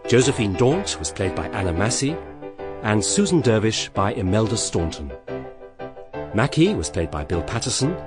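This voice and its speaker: A man reading radio drama credits as a continuity announcer. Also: on the low side. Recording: loud music under voice.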